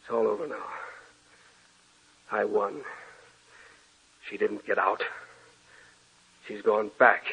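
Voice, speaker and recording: A man's voice: on the low side, breathy edge, exaggerated voice. A man playing a tormented novelist in a 1940s radio drama. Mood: hushed. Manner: frantic then hushed, haunted. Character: haunted guilt-ridden male novelist, tormented. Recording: tinny old radio broadcast.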